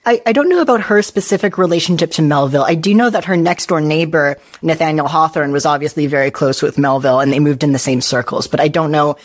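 A podcast host giving a scripted introduction and then chatting in a friendly, upbeat way. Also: mid-range voice. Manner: bright, friendly, quick to laugh. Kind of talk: podcast hosting and chat.

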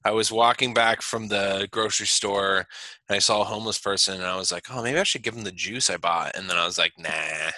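A man's voice: fairly low pitch, a little fast, sharp tone, dry recording. Lage, heldere man in een droog gesprek. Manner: loose, profane, laughing. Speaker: podcast co-host. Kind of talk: casual chat. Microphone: video call. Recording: dry call audio.

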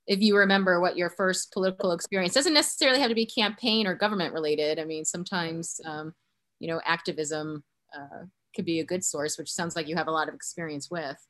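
A woman co-hosting a podcast, asking rambling, halting questions in a casual interview. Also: lowish voice, fairly bright tone. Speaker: podcast co-host.

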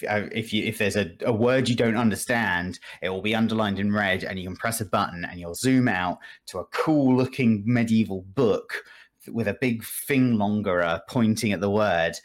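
A man hosting a podcast, explaining things casually in a rambling, halting way with asides. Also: on the low side. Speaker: podcast host. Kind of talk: casual video game review chat.

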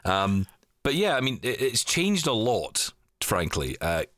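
Lively low-voiced man chatting. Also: dry recording, clean studio sound. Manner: chatty storyteller, quick asides. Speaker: podcast host. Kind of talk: casual chat.

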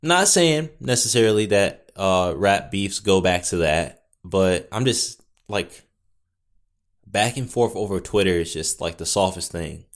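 Solo podcast host, young man with a low booming voice; loose, wandering delivery full of wry asides. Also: lively intonation, unhurried. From Northwest Indiana. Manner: rambling, self-correcting, wry asides. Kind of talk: casual solo podcast chat. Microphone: studio podcast mic.

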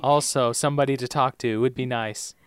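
A man's voice: mid-range voice, steady tone, a little fast, clean tone, no echo. A man co-hosting a podcast in casual TV recap chat, joking and teasing. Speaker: podcast co-host.

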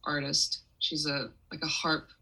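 A young woman from Taiwan speaks in a low yet bright, forward voice. She answers interview questions in a loose, chatty way, restarting her sentences and scattering fillers as she goes. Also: little pitch movement, unhurried, vocal fry, rough recording. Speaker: musician guest.

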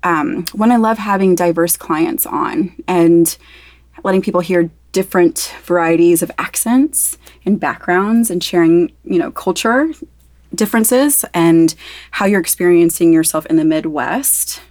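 A woman's voice: on the low side, measured pace, a little mellow, no room sound. A woman hosting a podcast interview, talking in an enthusiastic, effusive way. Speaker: podcast host.

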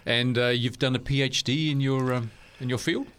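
A man's voice: medium pitch, steady tone, moderate pace, a bit bright. A man with a mixed South African and New Zealand accent hosting an interview in a studio, chatty and laughing easily. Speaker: interview host. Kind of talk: conversational interview.

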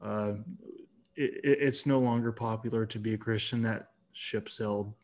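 A man's voice: fairly low pitch, steady tone, moderate pace, darkish tone. A man in his twenties, a guest author in a casual interview, talking earnestly with many restarts and fillers. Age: 20s.

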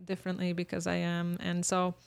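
A woman's voice: fairly low pitch, completely flat delivery, a little fast, vocal fry, clear, resonant tone, no room sound. A woman in her thirties from Bosnia, a guest chatting casually in an interview, laughing often and restarting her sentences. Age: 30s.

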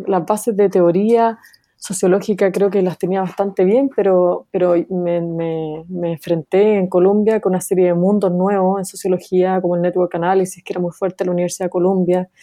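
Mellow-voiced woman answering questions. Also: on the low side, level tone, a little fast. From Chile. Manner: thoughtful, many fillers and restarts, light laughs. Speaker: guest, sociologist. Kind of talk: interview answers.

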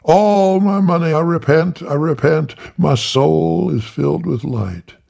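A man voicing a gullible, pious little male reverend who pleads in a high, breathless voice. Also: measured pace, gravelly, dark tone. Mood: pleading. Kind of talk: storytelling.

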